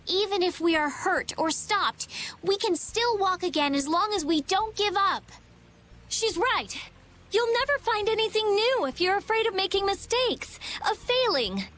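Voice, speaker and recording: An actress playing an earnest, idealistic young heroine in a video game, gentle but stubborn and pleading. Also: female voice, extremely high pitch, animated, measured pace, heavily acted. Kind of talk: dramatic video game voice acting.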